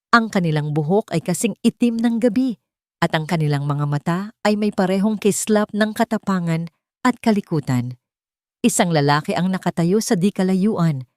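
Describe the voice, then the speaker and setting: A woman's voice: mid-range voice, darkish tone, no room sound, clean studio sound. A woman reading a scripted dramatic story as a storyteller. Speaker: storyteller, audio drama narrator.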